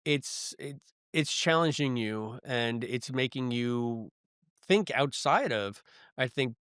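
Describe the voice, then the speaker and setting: A man's voice: mid-range voice, lively intonation, takes it slowly, fairly bright tone, clean tone, dry recording, clean studio sound. A man co-hosting a podcast, chatting casually and musing through rhetorical questions in a review discussion. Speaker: podcast co-host. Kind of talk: casual review chat about a science fiction audio drama.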